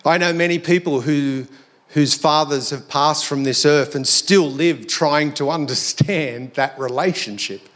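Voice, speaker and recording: An Australian man giving a sermon in a conversational, reflective way, his voice a little hoarse and croaky. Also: fairly high pitch, unhurried, a bit bright. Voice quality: slightly croaky. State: slightly hoarse. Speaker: preacher. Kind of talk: sermon to a congregation.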